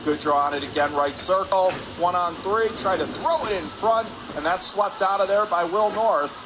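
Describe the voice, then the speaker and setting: A man calling live radio hockey play-by-play in clipped phrases from an ice rink. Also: sits high. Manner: clipped rapid phrases, rising on shots. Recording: clear background noise, arena ambience.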